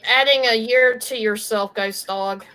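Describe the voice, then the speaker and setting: Woman teasing the host, chatty, higher voice. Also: a little slow, pushed and bright, rough recording. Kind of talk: casual chat.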